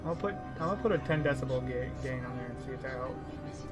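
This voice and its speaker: Fast-talking man streamer with music. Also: medium pitch. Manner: quick, restless, repeats himself. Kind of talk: casual gaming chat. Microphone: desk USB mic.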